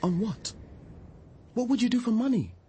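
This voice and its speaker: An actor playing an earnest, gentle, hard-up idealist activist in a radio drama, mild and a little preachy. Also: male voice, extremely high pitch, very animated, normal speed, a little hiss or hum. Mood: anxious.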